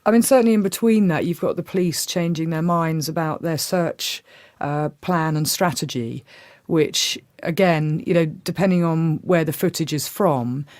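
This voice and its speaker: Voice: mid-range voice, normal speed, darkish tone, no room sound. A podcast co-host talking through a case in a thoughtful, hedging way, with many fillers. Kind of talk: casual analytical discussion.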